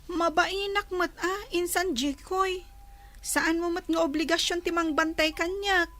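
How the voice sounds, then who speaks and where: A woman playing a shy young village cousin with a squeaky voice in a comedy radio drama. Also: fairly even intonation, measured pace, bright, forceful tone, full character voice. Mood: bashful.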